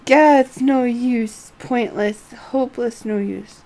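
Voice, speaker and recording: A woman voicing a timid, hopeless little kobold from a game, with a halting stammer and dramatic delivery. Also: a little high, dark tone, rough recording. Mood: despairing. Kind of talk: reading game dialogue aloud in character.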